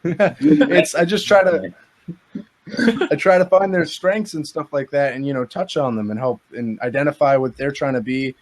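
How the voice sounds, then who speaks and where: A man's voice: fairly high pitch, moderate pace. A twenty-year-old man in a casual group chat, talking in an earnest, rambling, reflective way as a host.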